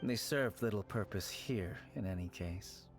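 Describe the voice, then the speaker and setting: A slow, knowing shrine keeper, polite and formal, with faint game music. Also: male voice, fairly low pitch. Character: mysterious, courteous old shrine keeper. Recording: game audio under background music.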